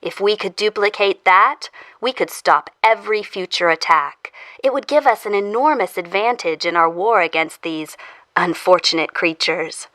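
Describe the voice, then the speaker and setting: Woman acting a bright-voiced, formal interrogator. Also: medium pitch, dry recording, close to an everyday voice. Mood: businesslike. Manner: formal, measured. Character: controlled, earnest female official interrogating through an intercom. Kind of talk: audio fiction acting.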